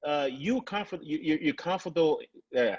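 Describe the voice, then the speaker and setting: A man with a Nigerian accent hosting a casual interview on a video call. He talks in a relaxed way and often repeats a word while he gathers his thoughts. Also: fairly high pitch, normal speed, husky, lo-fi sound. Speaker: podcast host.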